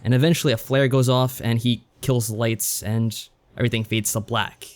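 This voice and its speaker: A man with a medium-pitched voice co-hosts a podcast. He talks casually, walking through his review in a conversational style. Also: fairly even intonation, moderate pace. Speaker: podcast co-host. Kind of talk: casual review and plot summary.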